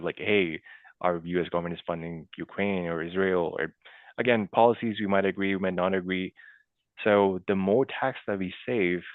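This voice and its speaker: A man talks through a podcast interview in a very low, smooth, resonant voice. He keeps it chatty and peppers his points with "you know" and "right". The sound is tinny and phone-like, with a dry, close feel. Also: no echo. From Pakistan. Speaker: guest accountant. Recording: tinny dry call audio.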